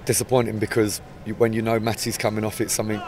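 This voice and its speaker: Measured English football manager, flat voice. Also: male voice, fairly low pitch, a little hiss or hum. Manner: measured, hesitant, many restarts. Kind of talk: post-match interview. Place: outdoors by a football pitch in a stadium. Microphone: handheld mic held up close.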